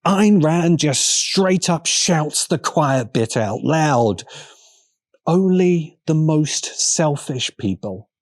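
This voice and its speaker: A man narrating a scripted video essay in a deliberate, emphatic manner with pointed pauses. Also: fairly high pitch, lively intonation, no room sound, studio quality.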